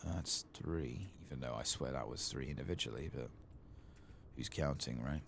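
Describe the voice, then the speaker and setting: A soft-spoken man giving ASMR commentary on a video game, hushed and often slipping into whispers. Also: a bass voice, normal speed, vocal fry, a little hiss or hum.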